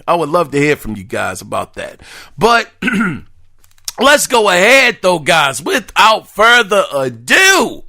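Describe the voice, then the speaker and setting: Chatty hoarse male gaming podcast host. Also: high voice, pushed and bright. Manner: loose, chatty, addresses listeners as brothers and y'all. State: hoarse, raspy from a worn voice. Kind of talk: casual gaming news podcast.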